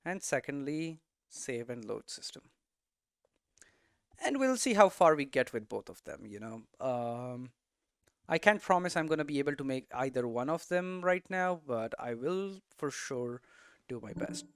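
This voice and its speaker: A man's voice: mid-range voice, lively intonation, a little slow, a bit bright, clear, resonant tone, dry recording, clean studio sound. A man giving a casual, unscripted tutorial as a game developer, thinking aloud and often checking in with rhetorical questions. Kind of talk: casual, unscripted explanatory talk.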